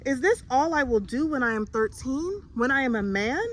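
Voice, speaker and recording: A woman's voice: high-pitched, lively intonation, moderate pace, voice pushed up. A woman voicing an earnest, pleading young boy who is eager to help, in a storybook. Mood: frustrated.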